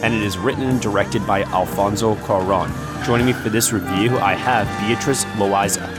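A man's voice: lowish voice, steady tone, moderate pace, over loud music. A man hosting a film review discussion, speaking in a wordy, emphatic way. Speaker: podcast host.

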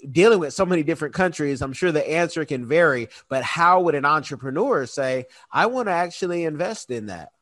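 A man's voice: a little high, lively intonation, fairly quick, few pauses, fairly bright tone, dry recording, clean studio sound. A man hosting an interview, enthusiastic and conversational as he asks his questions. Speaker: host, interviewer.

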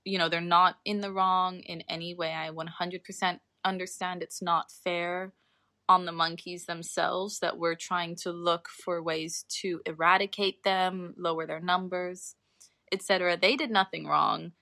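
A woman's voice: lowish voice, flat delivery, unhurried, bright, forceful tone, dry recording, clean studio sound. A young adult woman talking informally as an interview guest, explaining her research and qualifying points as she goes.

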